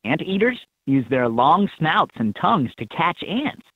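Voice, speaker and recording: A man's voice: fairly high pitch, very animated, measured pace, no room sound, full character voice. A man voicing an upbeat helper who gives scripted instructions in a children's educational game. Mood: upbeat, instructive. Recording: tinny, band-limited handheld game audio clips.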